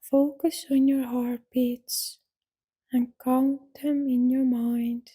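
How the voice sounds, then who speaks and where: A woman with a soft, breathy voice leads a guided yoga nidra relaxation in a soothing, gentle manner, with long pauses between instructions. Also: sits high, level tone. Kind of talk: guided relaxation meditation, scripted.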